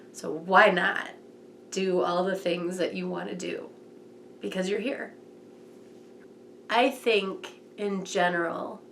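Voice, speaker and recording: A mother speaking in an interview in an earnest, measured way. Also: fairly low pitch, vocal fry, a little hiss or hum. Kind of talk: reflective interview.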